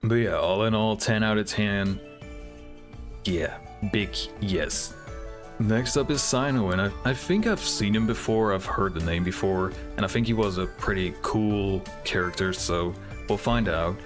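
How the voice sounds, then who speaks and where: Low-voiced man giving casual reactions. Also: a little slow, music in the background. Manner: informal, easily amazed, thinking aloud. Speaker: reaction video host. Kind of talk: casual off-the-cuff reaction commentary.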